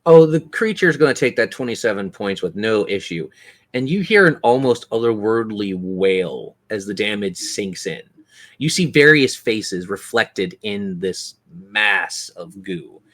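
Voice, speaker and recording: A man's voice: on the low side, expressive, unhurried, fairly bright tone. A man acting as game master, narrating vividly and ruling casually on a tabletop game. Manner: descriptive, vivid monster narration.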